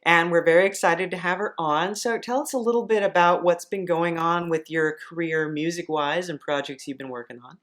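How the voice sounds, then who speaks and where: A woman's voice: on the low side, fairly quick, few pauses, fairly bright tone. A woman hosting a podcast, interviewing a guest in a casual, conversational style. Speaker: podcast host.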